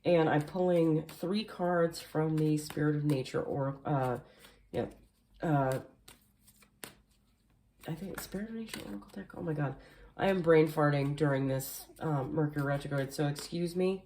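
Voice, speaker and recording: Low-voiced chatty woman reading tarot. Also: moderate pace, mellow, a little hiss or hum. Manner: chatty, rambling with many fillers, occasional coughs. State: slightly nasal and hoarse from allergies. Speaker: tarot reader. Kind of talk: casual tarot card reading. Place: indoors at a table at home. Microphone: camera facing the table, speaker mostly off screen.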